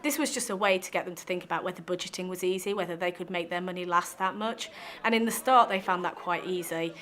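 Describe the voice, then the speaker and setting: A woman's voice: on the low side, quick pace, fairly bright tone, a faint crowd. A woman workshop organiser explaining an activity in an interview.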